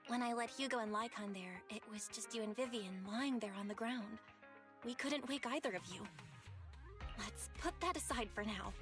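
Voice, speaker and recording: A woman's voice: fairly high pitch, normal speed, close to an everyday voice. A woman voicing a caring, practical young woman in a video game, speaking naturally with concern and curiosity. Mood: practical, inquiring. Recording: game music behind.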